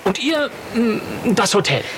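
A man's voice: high-pitched, very animated, takes it slowly, fairly bright tone, heavily acted. An actor playing a brash, overconfident boy who leads his friends in a children's audio play, heard from a worn cassette. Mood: bossy. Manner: brash, overconfident, prim when polite. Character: bossy, reckless boy leader of the gang. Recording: hissy old cassette.

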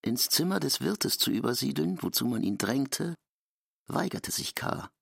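A man's voice: medium pitch, expressive, unhurried, vocal fry, fairly bright tone, slightly rough, no echo. A man giving scripted literary narration as a narrator, recorded close in a studio.

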